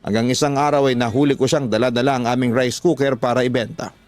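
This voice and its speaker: A man's voice: medium pitch, fairly even intonation, fast, a little mellow, no room sound. A man narrating a dramatic first-person story for radio in an earnest, confessional manner.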